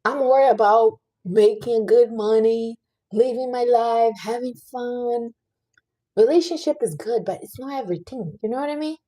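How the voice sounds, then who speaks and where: A woman's voice: on the high side, warm tone. A woman in her late thirties, chatting casually on a livestream and earnestly giving life advice to her viewers. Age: 30s. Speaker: livestream host.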